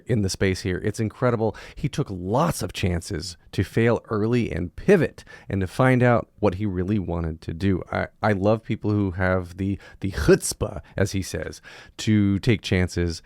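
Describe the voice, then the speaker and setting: A man's voice: sits low, creaky, darkish tone, no room sound, clean studio sound. A man hosting a podcast, chatty and effusive as he introduces and interviews in an upbeat style. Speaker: podcast host.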